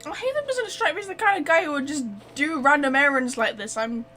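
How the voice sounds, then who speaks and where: A woman giving casual let's play commentary as a game streamer, chatty and expressive. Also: high-pitched, a little fast, soft background music.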